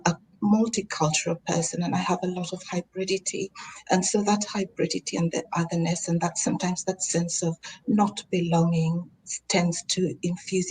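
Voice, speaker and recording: A woman's voice: on the low side, darkish tone, a little hiss or hum, low-quality recording. A woman author on a panel, speaking earnestly and reflectively over a video call. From Tanzania, raised in Kenya.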